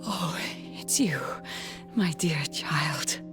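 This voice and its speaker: A woman's voice: low-pitched, animated, drawn-out words, music in the background, heavily acted. An actress playing a frail, dying noble mother in a video game, weary and tender. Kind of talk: video game character acting.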